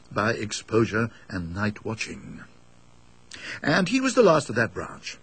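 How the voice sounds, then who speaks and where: Man acting elderly English rector, ghost story, very raspy, creaky, talkative, donnish, musing, kindly. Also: medium pitch, heavily acted. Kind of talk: dramatised ghost story reading.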